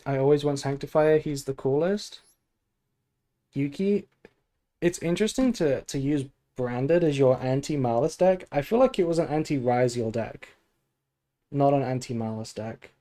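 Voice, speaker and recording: Sleepy, mellow-voiced male game streamer. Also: medium pitch, normal speed. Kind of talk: casual gameplay stream chat and deck analysis.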